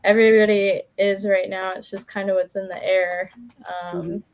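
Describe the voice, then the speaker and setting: A woman's voice: mid-range voice, flat delivery, moderate pace, slight background noise, low-quality recording. A woman doing a casual live tarot reading as a guest, chatty and informal, feeling her way with plenty of "like". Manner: informal, chatty, hesitant phrasing with frequent "like".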